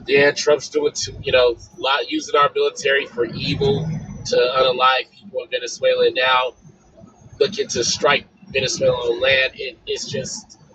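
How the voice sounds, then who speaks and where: A man with a raspy, hoarse voice from being sick, a panel guest talking bluntly in casual chat. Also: mid-range voice, sing-song, moderate pace. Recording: muffled audio with faint background noise.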